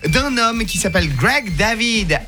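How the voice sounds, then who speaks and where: High-voiced playful French radio man. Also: expressive, a little slow. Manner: playful, laughing, exaggerated shouting. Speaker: radio show presenter. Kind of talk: joking radio chat telling a news story.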